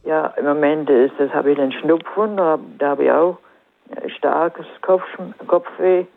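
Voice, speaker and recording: A woman with a southern German accent calling in to a radio show with a cold, telling her story haltingly with many fillers. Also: deep voice, steady tone, vocal fry. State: has a cold. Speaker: caller. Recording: crackly phone line.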